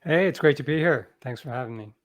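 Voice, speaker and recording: A man's voice: medium pitch, fast talker. A middle-aged man speaking as an interview guest, telling anecdotes conversationally with self-deprecating asides. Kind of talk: casual anecdotal storytelling.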